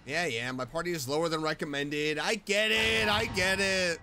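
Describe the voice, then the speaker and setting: A woman giving casual, slangy gameplay commentary as a streamer, animated and quick to exclaim. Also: lowish voice, a little hiss or hum. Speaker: streamer, game player. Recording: game music behind the voice. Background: video game music.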